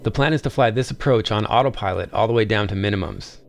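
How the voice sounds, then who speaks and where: A man's voice: lowish voice, fast talker, gravelly, background noise. A man hosting a video, narrating in voice-over directly to viewers. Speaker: video host.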